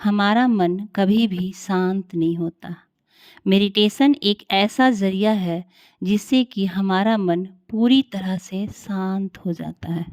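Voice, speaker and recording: A woman leading a guided meditation in a calm, soothing, unhurried way with long pauses. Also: mid-range voice, no room sound, studio quality.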